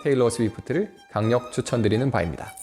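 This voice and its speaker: A man's voice: medium pitch, fast. A man in his twenties telling a playful personal story to camera in a casual monologue. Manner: playful, lively, mimics rap-style English. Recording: faint music underneath.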